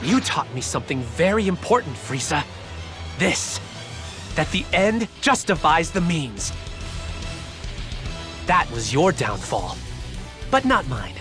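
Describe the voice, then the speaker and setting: Expressive scheming young demon. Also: male voice, a little high, slow pace, pauses often. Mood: smug, cold. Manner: expressive, theatrical, self-absorbed. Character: scheming youthful male demon scientist, impish and obsessive. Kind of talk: anime video game dub acting. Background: game music.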